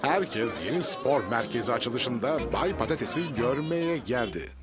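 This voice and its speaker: A man's voice: mid-range voice, lively intonation, unhurried, loud music behind the voice, a loud crowd, natural voice. A man reading scripted narration for a children's cartoon.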